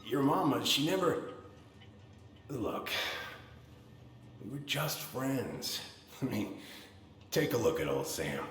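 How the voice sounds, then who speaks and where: An actor playing a self-deprecating, grieving middle-aged American man in a video game drama, halting and folksy in manner. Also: medium pitch, very animated, fairly bright tone, roomy sound. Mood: defensive, regretful. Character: self-deprecating, grieving older man, old family friend.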